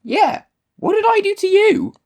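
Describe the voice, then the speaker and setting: A woman's voice: extremely high pitch, lively intonation, measured pace, very noisy. A woman playing the bewildered, aggrieved ghost of a murdered writer in an audio drama.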